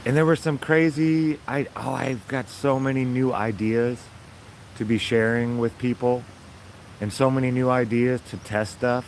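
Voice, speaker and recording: Man, raspy voice, rambling upbeat vlog chat, outdoors, faint traffic behind. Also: mid-range voice, steady tone, a little slow. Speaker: vlogger. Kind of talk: casual chat to viewers.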